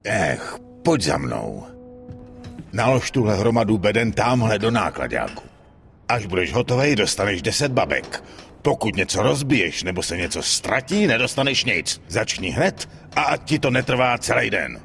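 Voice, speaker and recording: Deep, gravelly dockside thug. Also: male voice, sing-song, fairly bright tone, slight background noise, heavily acted. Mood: gruff, ordering. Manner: gruff, curt, swearing orders. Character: surly, thuggish male dock foreman and henchman. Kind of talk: dubbed crime game cutscene acting.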